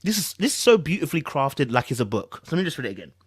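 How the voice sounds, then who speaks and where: An animated podcast host with a London accent and plenty of street slang. The pitch swings widely through exasperated, repetitive commentary, and the sound is close and dry. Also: rapid-fire, husky, no echo. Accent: British, urban London slang. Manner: exasperated, incredulous, repeats phrases for emphasis; informal, slangy, conversational. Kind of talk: animated commentary on a celebrity breakup statement.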